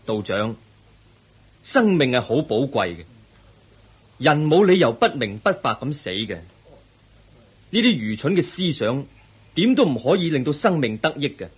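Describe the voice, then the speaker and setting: A man acting in a Cantonese radio drama as a young prince seeking truth, earnest and measured, with a Hong Kong accent. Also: mid-range voice, sing-song, husky, full character voice. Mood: solemn, resolute. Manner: measured, earnest, declamatory, preaching.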